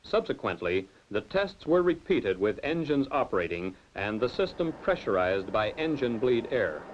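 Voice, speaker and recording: A man gives measured, formal narration for a technical film, his voice sitting at an ordinary middle pitch. The sound is dull and lo-fi, like an old film soundtrack. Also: sing-song, slight background noise. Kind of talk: scripted documentary narration.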